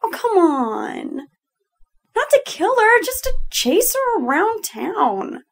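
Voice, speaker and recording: A woman's voice: fairly high pitch, expressive, a little slow, fairly bright tone, no room sound. An actress playing a brusque, sarcastic lone-wolf female spy in a video game, with a curt, biting delivery. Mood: exasperated, sardonic. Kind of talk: scripted game character dialogue.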